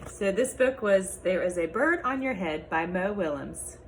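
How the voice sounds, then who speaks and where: Lady doing kids storytime, expressive and slow, bit of background noise. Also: fairly low pitch. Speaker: story reader. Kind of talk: read-aloud of a picture book for children.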